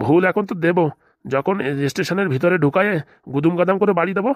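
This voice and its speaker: A man's voice: high voice, quick pace, no echo, studio quality, natural voice. A man voicing a bullying, foul-mouthed cartoon police commissioner with a blustering, threatening manner. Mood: furious. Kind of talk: fast cartoon comedy dialogue.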